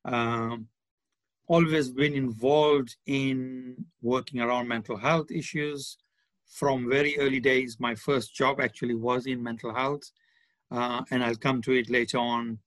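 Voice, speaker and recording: Guy in his 60s, podcast guest, mid pitch, rambles a bit with asides, very dry sound. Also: fairly even intonation, measured pace, a bit bright, dry recording. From born in India, grew up in Malawi. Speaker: podcast guest, charity development manager. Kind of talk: conversational interview, reflective account. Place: remote online call.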